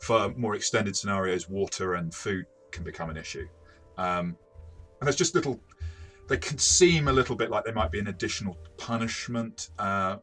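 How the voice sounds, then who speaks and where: A man talking casually through a game review in a measured, explanatory way. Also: fairly low pitch, pushed and bright. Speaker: tabletop game reviewer and game master.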